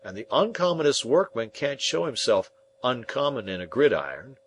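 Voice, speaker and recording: A man voicing a slow, kindly working-class blacksmith, speaking with rustic grammar in a ponderous, emphatic way. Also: mid-range voice, big pitch swings, a little hiss or hum, voice pushed up, natural voice. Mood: earnest.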